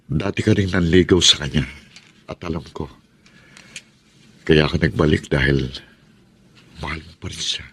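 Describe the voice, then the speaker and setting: A broken husband in a radio drama, his voice deep and heavy with self-pity. He speaks haltingly, ready to sacrifice himself for his family. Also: fairly bright tone, husky, exaggerated voice. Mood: pleading, sorrowful. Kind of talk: Tagalog radio drama acting.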